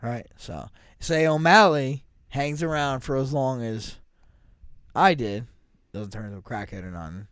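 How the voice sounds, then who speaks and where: A man's voice: medium pitch, normal speed, a bit bright, clean tone, no room sound, studio quality. Rambling, profane man near forty. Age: 30s. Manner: profane, rambling, restarts often. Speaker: podcast co-host, fighter. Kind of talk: casual chat.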